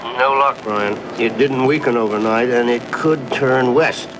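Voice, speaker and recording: An actor playing a calm weather official, a man speaking in a measured, matter-of-fact way. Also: mid-range voice, noisy background, close to an everyday voice. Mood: matter-of-fact, cautious.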